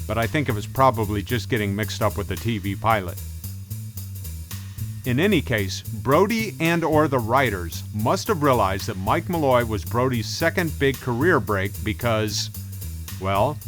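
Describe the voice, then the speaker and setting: Man narrating, medium pitch, music underneath. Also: a bit bright. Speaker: host, film commentator. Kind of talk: scripted video-essay narration, conversational.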